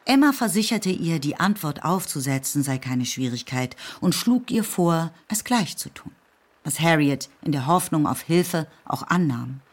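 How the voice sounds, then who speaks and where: An actress narrating a classic novel for radio drama, composed and lightly ironic. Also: female voice, low-pitched, lively intonation, unhurried. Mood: composed, wry. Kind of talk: scripted literary narration.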